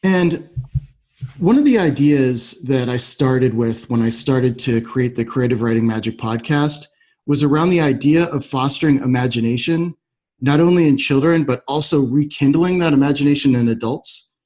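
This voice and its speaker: A man's voice: mid-range voice, normal speed, darkish tone. A man speaking as the host of a podcast interview.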